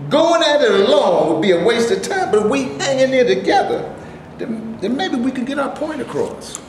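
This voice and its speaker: A man playing an earnest, determined actor and would-be organizer in an animated staged play reading. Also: very high voice, moderate pace. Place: indoor room, live staged reading. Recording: echoey room, faint noise.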